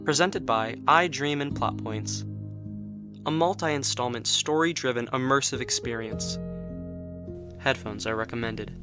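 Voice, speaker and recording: A man's voice: medium pitch, a little slow. A man announcing a scripted intro for an audio drama series. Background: plucked guitar music.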